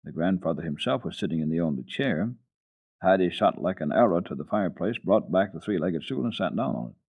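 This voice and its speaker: A male storyteller with a low, husky voice reads a children's book dramatically. His delivery is folksy and lively in pitch. It sounds like a muffled archival radio program. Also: a little fast.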